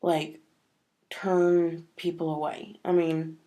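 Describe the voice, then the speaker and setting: A woman's voice: deep voice, little pitch movement, slow pace, mellow. A woman talking casually to camera in a vlog, sniffly from a cold and rambling with many fillers. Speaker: vlogger.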